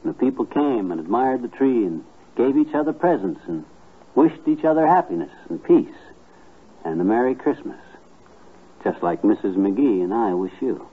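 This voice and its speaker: Folksy kindly storyteller, husky medium-pitched voice, homespun grammar, old radio comedy, narrow vintage recording. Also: male voice, very animated, moderate pace. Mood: tender. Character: folksy, kindly married man telling a child stories. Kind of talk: 1950s radio comedy acting.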